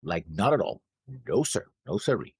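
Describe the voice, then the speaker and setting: A man with a very deep voice and a lively, swooping pitch chats about an anime episode. He speaks haltingly, often stopping and trailing off as he works through his notes. The sound is dry and close. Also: vocal fry, slightly rough, no room sound. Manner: hesitant, halting, trails off at the ends of phrases. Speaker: reaction show co-host. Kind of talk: casual discussion of an anime episode.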